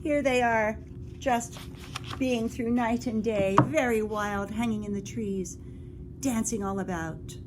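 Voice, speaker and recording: A woman reading a picture book aloud to young children as a teacher or librarian would, expressive and engaging, dropping into whispers for suspense and putting questions to the listeners. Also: fairly high pitch, slow, noisy background.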